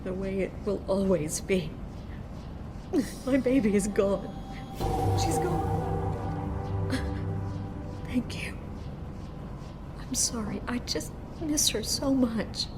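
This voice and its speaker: An actress playing a grieving, weary mother in a video game, speaking in a soft, halting, emotional way. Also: mid-range voice, loud music behind the voice. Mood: grief-stricken. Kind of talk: dramatic video game acting.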